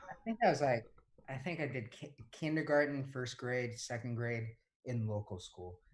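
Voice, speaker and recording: A man's voice: medium pitch, moderate pace. A young man from Hong Kong, a podcast co-host, telling stories in casual chat with plenty of fillers and restarts. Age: young adult. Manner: rambling storytelling with many fillers and restarts.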